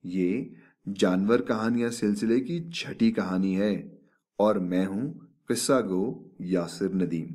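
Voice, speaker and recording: A man narrating a children's story expressively, with deliberate, dramatic phrasing and drawn-out emphasis. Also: lowish voice, a little mellow.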